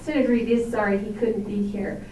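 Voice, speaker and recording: A woman's voice: medium pitch, flat delivery, fairly quick, heavy background noise. A woman from a senator's staff giving a chatty, upbeat town hall update with many ums. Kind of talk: public speech at a town hall. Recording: echoey hall recorded from far off.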